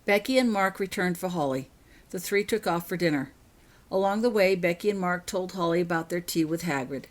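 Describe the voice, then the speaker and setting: A woman's voice: fairly low pitch, brisk. A woman reading her own fiction aloud as scripted story narration.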